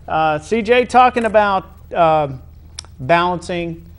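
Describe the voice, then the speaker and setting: A man hosting a conversational sports interview, feeling his way through questions in a halting manner and often rephrasing them. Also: high-pitched, expressive, a bit bright, smooth. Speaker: interviewer, show host.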